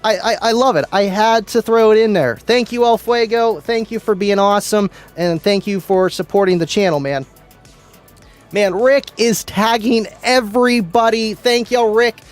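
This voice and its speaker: A man hosting a casual livestream, chatting excitedly with viewers, laughing often and tripping over names. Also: high-pitched, moderate pace, soft background music. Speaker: livestream host. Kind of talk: casual livestream chat reading viewer comments. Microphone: studio mic.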